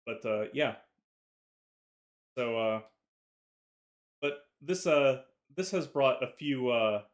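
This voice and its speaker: A man's voice: mid-range voice, a bit bright. Opinionated man from the South. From Southern United States. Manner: opinionated, rambling with fillers. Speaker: podcast co-host. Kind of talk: casual chat.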